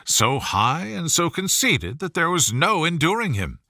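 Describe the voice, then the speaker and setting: A man voicing an excitable, gossipy middle-aged wife and mother in a storybook reading, effusive and exclamatory as she rattles on. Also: fairly high pitch, unhurried, dry recording, clean studio sound, pitched above the performer's own voice, exaggerated voice. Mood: indignant.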